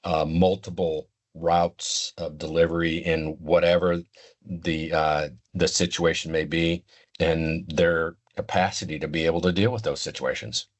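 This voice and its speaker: Very deep-voiced older man, halting delivery. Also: level tone. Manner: halting, deliberate, measured explaining. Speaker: interview guest, retired law enforcement communications expert. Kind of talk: unscripted interview answers.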